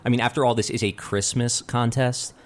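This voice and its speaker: An actor playing a dry-witted, matter-of-fact young college student in an audio drama, deadpan and precise. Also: male voice, mid-range voice, a little fast, lightly acted. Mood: deadpan teasing. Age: young adult.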